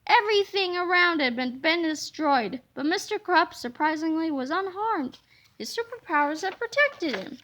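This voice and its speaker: Read-aloud narrator: woman with a very high, bright voice, telling a children's story expressively. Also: expressive, normal speed, slight background noise, poor audio.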